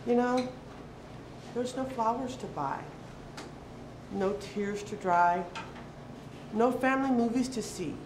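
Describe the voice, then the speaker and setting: A woman giving a dramatic reading of a literary piece, deliberate, with pauses between short phrases. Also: fairly high pitch. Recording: echoey room with background noise.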